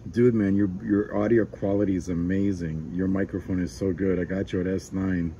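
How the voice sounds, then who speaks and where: Deep-voiced man with noisy background. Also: fairly even intonation, moderate pace, dark tone, highs cut off. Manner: admiring, slangy. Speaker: amateur radio operator. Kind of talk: casual ham radio chat.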